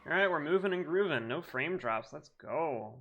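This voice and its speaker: A man's voice: fairly high pitch, animated, moderate pace, dull audio. A man chatting casually as a live streamer, with a playful, affectionate, slangy manner. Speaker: live streamer and hobby voice actor. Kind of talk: casual livestream chat. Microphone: streaming microphone. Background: game music.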